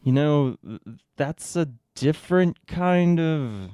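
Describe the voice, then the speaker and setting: Dark-voiced actor's beleaguered male shopkeeper. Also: fairly high pitch, animated, slow, smooth, no room sound, clean studio sound. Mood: bewildered. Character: beleaguered ordinary male restaurant owner. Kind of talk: radio drama acting.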